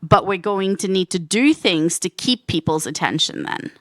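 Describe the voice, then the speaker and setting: A woman's voice: fairly low pitch, lively intonation, moderate pace, dry recording, studio quality. A woman hosting a live panel at a convention, upbeat and enthusiastic as she introduces guests and asks questions. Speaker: panel host and moderator. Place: convention stage.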